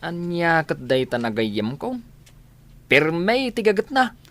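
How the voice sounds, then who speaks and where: An actor playing a nosy, teasing village sidekick in an Ilocano radio comedy drama, with an Ilocano accent. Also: male voice, fairly high pitch, big pitch swings, takes it slowly, a bit bright, heavily acted. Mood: gossipy.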